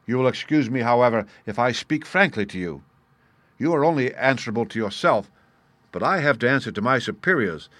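A man's voice: medium pitch, lively intonation, a little fast, fairly bright tone, no echo, close to an everyday voice. Worried, upright police inspector, played by a male reader in an audiobook: formal, frank, questioning, recorded dry. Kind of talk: audiobook character dialogue.

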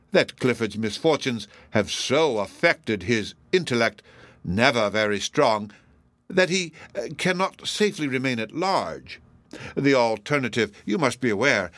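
A man voicing a pompous, menacing elderly judge in a classic novel audiobook, speaking in a formal, measured, self-righteous way. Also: mid-range voice, fairly bright tone, no echo, voice pushed up, close to an everyday voice.

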